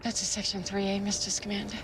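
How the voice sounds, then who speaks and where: A woman's voice: medium pitch, monotone, fast talker, music in the background, close to an everyday voice. An actress playing an earnest, rule-bound young witch investigator, with an American accent and an urgent, officious manner. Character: earnest, fretful young witch investigator, strict about rules. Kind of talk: film dialogue acting.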